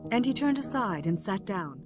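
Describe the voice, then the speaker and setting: A woman narrating a scripture audiobook in a measured, formal way. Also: medium pitch, very animated, over music.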